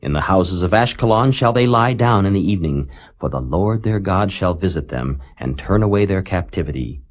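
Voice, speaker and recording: A man with a very low voice narrates scripture solemnly. His delivery is measured and declamatory, with pitch that rises and falls widely from phrase to phrase. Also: expressive. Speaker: Bible narrator.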